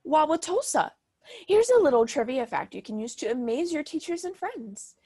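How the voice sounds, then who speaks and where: A woman's voice: high voice, sing-song, moderate pace, mellow, no room sound, clean studio sound. A woman playing a doting but overbearing mother in a stage comedy, gushing and reciting with pompous theatrical grandeur. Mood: pompous, insistent.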